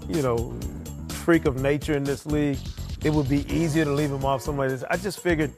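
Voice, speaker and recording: A man's voice: fairly high pitch, steady tone, fast, music in the background. A man giving his opinions as a basketball analyst in a conversational studio debate.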